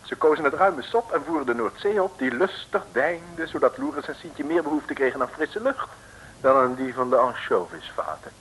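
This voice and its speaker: A man's voice: a little high, animated, normal speed, a bit bright, a little hiss or hum, rough recording. A man narrating a comic radio drama as a wry, theatrical scripted storyteller on an old recording.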